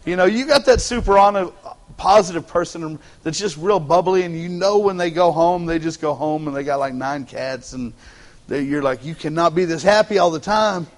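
A Texan pastor preaching to his church in a relaxed, joking way. He talks with a slightly high voice, loose and folksy, often restarting phrases as he goes. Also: moderate pace. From Texas. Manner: joking, folksy, repeats words as he starts phrases. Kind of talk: casual humorous sermon. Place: church sanctuary.

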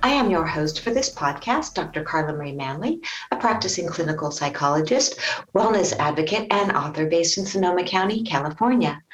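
A woman hosting a podcast as a clinical psychologist, introducing her guest with enthusiastic, warm phrasing. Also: lowish voice, animated, fairly quick, roomy sound, soft background music, low-quality recording. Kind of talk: podcast interview.

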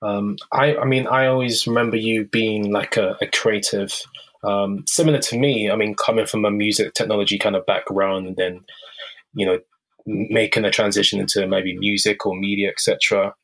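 Low-voiced man hosting a podcast. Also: steady tone, normal speed, fairly bright tone, rough recording. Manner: friendly, encouraging, laughs often. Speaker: podcast host. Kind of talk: casual interview chat.

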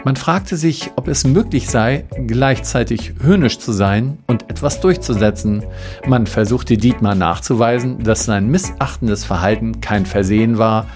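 A man's voice: mid-range voice, unhurried, a little mellow. A man reads a scripted report with dry, mock-solemn irony, as a reporter commenting on events. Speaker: reporter and narrator. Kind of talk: scripted narration with ironic commentary. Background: music under the voice.

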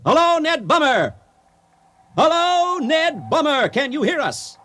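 An actor playing a pompous, effusive storyteller and ceremony announcer in a mock-dramatic radio play. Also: male voice, extremely high pitch, very animated, slow pace, bright, forceful tone, a little hiss or hum, exaggerated voice. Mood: grand, excited. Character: grandiose, excitable male storyteller and ceremony announcer.

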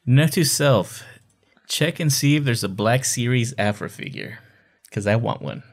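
A man's voice: mid-range voice, expressive, a little slow. A man hosting a podcast in casual chat, talking informally and emphatically as a fan. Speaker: podcast host.

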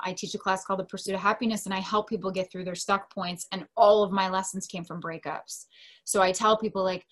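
A woman's voice: mid-range voice, a bit bright. An American woman in her mid thirties talking casually and expressively about herself as an interview guest. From Delaware, United States. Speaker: podcast guest, teacher and coach. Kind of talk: casual personal storytelling in an interview.

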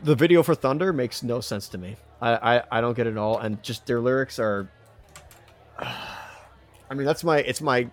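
A man co-hosting a podcast in casual banter, ranting in a halting way and repeating himself with mild exclamations. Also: medium pitch. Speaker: podcast co-host.

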